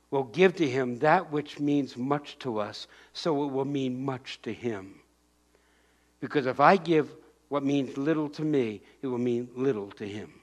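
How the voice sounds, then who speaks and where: An older man preaching a sermon in a church, moving about and raising his voice in bursts. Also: medium pitch. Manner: animated preaching, shouting acted-out crowd voices and pointing. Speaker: pastor. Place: church sanctuary.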